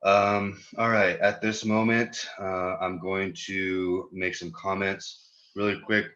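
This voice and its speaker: A man's voice: low-pitched, little pitch movement, takes it slowly, pushed and bright, highs cut off. A man leading a virtual meeting, speaking casually in the manner of a sports announcer as he thanks people and reviews the year.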